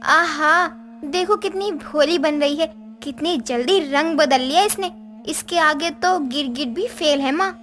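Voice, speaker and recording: A woman's voice: high-pitched, measured pace, a bit bright. A woman playing a bossy, whiny young sister-in-law in a cartoon moral story. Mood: accusing, sly. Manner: demanding, complaining.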